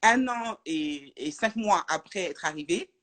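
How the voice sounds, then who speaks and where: A woman's voice: on the low side, animated, measured pace, fairly bright tone. A woman from France, talking as a guest in a casual live interview, hesitant and full of fillers and restarts.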